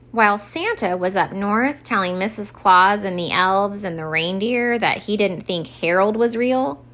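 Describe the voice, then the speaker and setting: Animated woman reading a children's story. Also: medium pitch. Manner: expressive. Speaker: story time reader.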